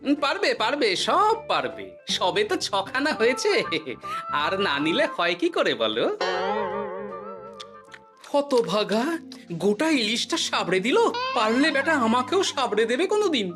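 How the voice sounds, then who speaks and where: A woman's voice: fairly high pitch, big pitch swings, a little slow, pauses often, over music. A woman playing a put-upon, grumbling middle-aged father-in-law in a cartoon, exasperated and plaintive. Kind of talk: cartoon story acting.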